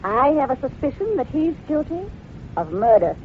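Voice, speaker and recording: An actress playing a bossy, suspicious banker's wife in an old-time radio drama, nagging and scolding. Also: female voice, high-pitched, very animated, moderate pace. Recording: tinny old radio recording with faint noise.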